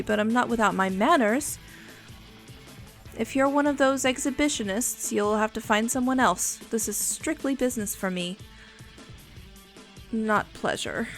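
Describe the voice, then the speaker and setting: A woman playing a bossy, protective immortal vampire in an ASMR roleplay, speaking with dry, commanding condescension. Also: fairly high pitch. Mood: haughty, bossy. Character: bossy, protective female vampire, immortal, businesslike and dryly menacing. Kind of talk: scripted ASMR roleplay audio, addressing the listener.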